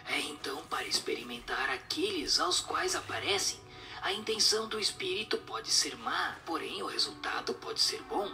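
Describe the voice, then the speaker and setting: Breathy, solemn spirit played by a woman. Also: on the low side, big pitch swings, normal speed, over music. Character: solemn, instructive spirit answering questions.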